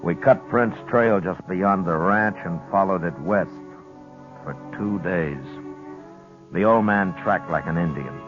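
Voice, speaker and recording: Tired old west lawman, deep american voice, measured, muffled old radio w music. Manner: measured, laconic. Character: weary, steady, authoritative frontier marshal. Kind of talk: 1950s radio western acting and narration. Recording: dull lo-fi old radio recording.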